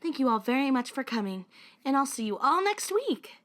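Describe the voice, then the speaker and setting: A woman plays a caring high school girl who leads her club in a fan-made visual novel. Her voice is high and very expressive in pitch. She has a protective temper with an anxious undercurrent. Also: fairly quick. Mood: cheerful, encouraging.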